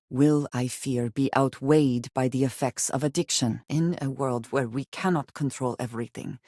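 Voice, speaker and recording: An actress playing a guarded, solemn woman spacefarer in a video game, speaking in measured, formal phrases. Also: very deep voice, a little mellow, slightly rough, no echo, clean studio sound, heavily acted. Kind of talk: video game character dialogue.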